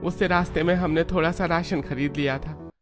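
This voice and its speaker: A man voicing a tired, kindly poor labourer husband in a cartoon, with expressive delivery. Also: fairly high pitch, fairly quick, lightly acted. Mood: weary. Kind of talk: Hindi cartoon voice acting. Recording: music under the voice.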